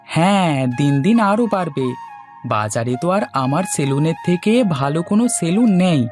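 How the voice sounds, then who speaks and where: A woman's voice: low voice, lively intonation, normal speed, darkish tone, soft background music. A woman voicing a playful young village barber husband in a cartoon, easygoing and coaxing. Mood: content, proud. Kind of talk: Bengali cartoon voice acting.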